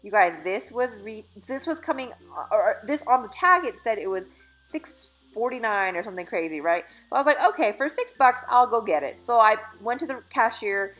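An upbeat woman in her thirties talks through clothes she is trying on, chatty and casual, with the odd "uh" and self-correction. She records on her phone in a small dressing room. Soft store music plays faintly in the background. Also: fairly high pitch, lively intonation, fairly quick, rough recording. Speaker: fashion vlogger. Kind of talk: casual try-on haul commentary. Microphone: phone camera held in mirror.

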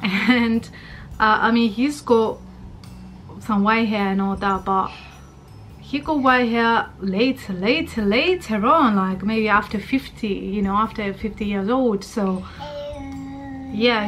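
A woman's voice: medium pitch, unhurried, fairly bright tone, a little hiss or hum. Chatty thirty-year-old woman vlogging from her bedroom. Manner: rambling, chatty, many fillers. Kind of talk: casual chat to camera. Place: bedroom, indoors. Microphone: camera at arm's length.

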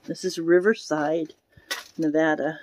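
A woman exploring as a vlogger, giving casual, unhurried walkthrough commentary and musing aloud into a handheld camera. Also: deep voice, steady tone, darkish tone, slight background noise. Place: in and around derelict wooden buildings. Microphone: handheld camera or phone, operator behind it.

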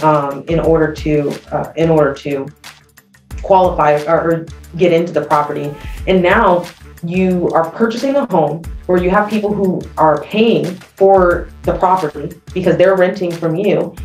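A woman's voice: sits low, a little mellow, reverberant, distant mic, low-quality recording. A woman hosting a podcast in a studio, explaining money topics casually with many fillers. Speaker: podcast host. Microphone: studio mic on arm.

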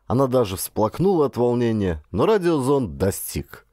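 Expressive male audiobook narrator, lively pitch. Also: moderate pace, dry recording, clean studio sound. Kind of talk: expressive scripted first-person narration.

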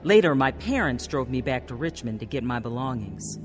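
A woman's voice: rumbling low, lively intonation, moderate pace. An American actress playing an earnest, troubled woman who recounts her life confessionally in a radio drama. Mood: reflective. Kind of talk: radio drama narration and dialogue. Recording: radio drama with music underneath.

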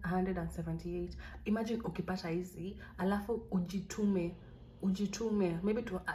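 A young Kenyan woman with a Kenyan accent, talking through a casual tutorial at an average pitch. She is chatty and encouraging and slips back and forth between Swahili and English. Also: dark tone, a little hiss or hum, poor audio. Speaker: vlogger.

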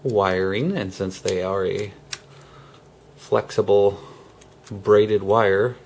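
A man giving a casual hands-on how-to, speaking haltingly in short phrases with pauses mid-sentence, as host of a DIY tutorial. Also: on the low side, darkish tone, a little hiss or hum.

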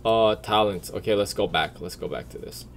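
A man's voice: lowish voice, moderate pace, a little hiss or hum. A man giving casual, slangy reaction commentary as a streamer, blunt and full of swearing. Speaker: streamer and video creator.

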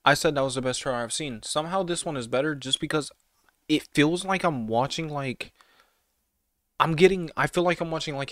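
A man's voice: a little high, fairly bright tone, dry recording. A young man giving casual, slangy, exclamatory reaction commentary as host of a video, close to the mic. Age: young adult. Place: small home room. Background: game trailer music.

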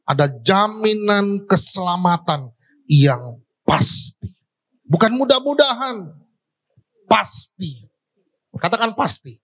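A man's voice: sits high, a bit bright, husky. Man preaching, questions and swings. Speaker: pastor. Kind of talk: sermon. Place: church stage. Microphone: handheld microphone.

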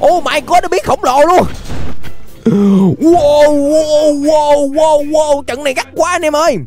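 High-pitched young Southern Vietnamese man. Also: takes it slowly, a little mellow, faint music behind. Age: young adult. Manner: exclamatory, repeats phrases, drops in English interjections. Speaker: gaming YouTuber. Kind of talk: excited gameplay commentary for viewers. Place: indoor gaming room.

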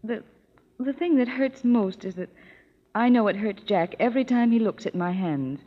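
A fretful young housewife. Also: female voice, on the high side, lively intonation. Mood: worried. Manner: hesitant, self-conscious, halting. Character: anxious young housewife worried about her hands. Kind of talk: 1930s radio commercial acting. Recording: dull old radio broadcast.

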